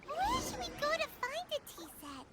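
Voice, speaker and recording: Super high squeaky lil fairy sidekick, bouncy voice, talks about herself in third person. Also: female voice, huge pitch swings, normal speed, noisy background, full character voice. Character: tiny floating fairy companion, chirpy and caring.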